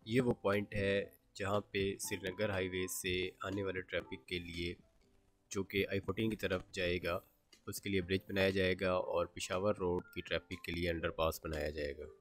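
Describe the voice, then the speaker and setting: A man's voice: low voice, level tone, moderate pace, soft background music. A man with a Pakistani accent presenting a construction site update in a factual, matter-of-fact way. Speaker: video presenter.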